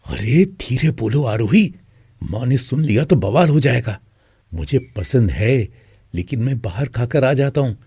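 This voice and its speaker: A man's voice: medium pitch, expressive, measured pace, mellow, husky, no room sound, full character voice. A man playing a cautious young husband who is wary of his mother, in an acted moral story. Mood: cautious, advising.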